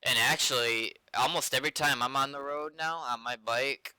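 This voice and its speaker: A man's voice: medium pitch, normal speed, bright, forceful tone, smooth, no echo, low-quality recording. A man with an American accent chatting casually and loosely, in a hesitant, rambling way, like a developer streaming while he works. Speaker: game developer live-streaming.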